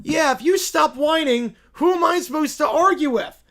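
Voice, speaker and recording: Man acting as petulant childish sports fan, raised pitch, loud whining, drawn-out complaints, comic skit. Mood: bossy, exasperated. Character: whiny, bossy, childish sports fan demanding everyone be miserable.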